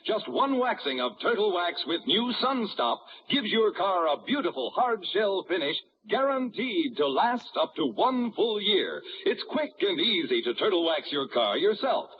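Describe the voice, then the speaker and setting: High, lively vintage ad announcer. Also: male voice, measured pace, few pauses, full character voice. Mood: assured, selling. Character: enthusiastic, authoritative male ad announcer. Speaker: commercial announcer. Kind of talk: vintage advertisement read. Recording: muffled, noisy old broadcast, long reverb.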